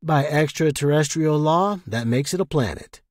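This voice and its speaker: A man's voice: a little high, moderate pace, no echo, pitched above the performer's own voice, natural voice. A man playing a joking, solitary space traffic controller with dry banter in a story. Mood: mock grand.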